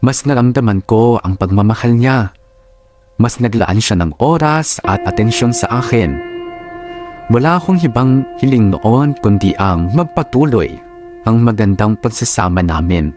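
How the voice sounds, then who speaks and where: A man's voice: lowish voice, lively intonation, unhurried. A man reading a scripted first-person story as the narrator of a drama. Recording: music under the voice. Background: background music.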